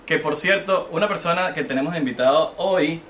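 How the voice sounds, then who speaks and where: A man's voice: on the high side, fairly quick, a bit bright. A man with a Venezuelan accent co-hosting a podcast, joking, swearing and bantering casually. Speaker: podcast co-host. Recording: echoey room, mic at a distance, faint room noise.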